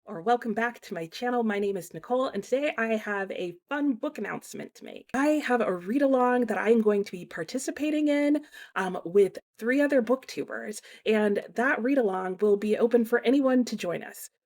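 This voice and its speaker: A young woman hosting her book channel, announcing a readalong in a chatty, casual way with lots of fillers and lively swings in pitch. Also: moderate pace. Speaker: booktube host.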